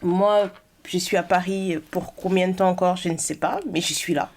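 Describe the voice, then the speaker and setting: A woman's voice: lowish voice, fairly even intonation, normal speed, a little mellow, slight background noise. A woman hosting a podcast, introducing her guest in a friendly, chatty way with frequent fillers. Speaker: podcast host. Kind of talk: casual podcast intro and questions.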